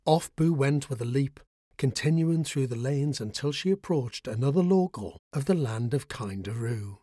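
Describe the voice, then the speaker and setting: A man reads a bedtime story in a soothing, expressive way, his pitch gliding up and down in a lively manner. His voice is mid-pitched and a touch husky. It sounds close and dry, with no room around it. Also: moderate pace, no room sound.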